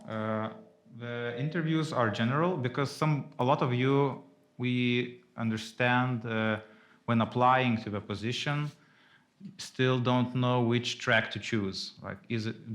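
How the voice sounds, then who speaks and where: A man's voice: mid-range voice, steady tone, measured pace, fairly bright tone. A man on a live office panel, answering questions in a loose, hedging way with many fillers. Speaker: engineer on recruitment panel. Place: office event space.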